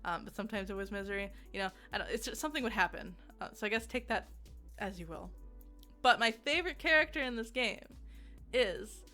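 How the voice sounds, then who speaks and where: Bright sounding girl doing a chatty game review, giggly and kinda self-deprecating, bit of music behind her. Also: medium pitch, fairly quick. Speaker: video game reviewer and host. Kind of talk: casual video essay review chat.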